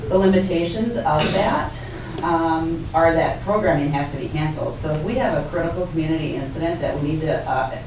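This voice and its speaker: A woman official explaining things off the cuff at a public meeting, in a halting way. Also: low voice. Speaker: county emergency management director and public information officer. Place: public meeting room indoors. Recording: echoey room from far off, noisy.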